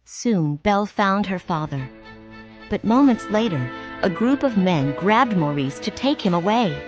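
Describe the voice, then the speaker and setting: A woman narrating a children's read-along storybook in a clear, expressive way. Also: mid-range voice, a little slow, muffled recording. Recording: music playing behind.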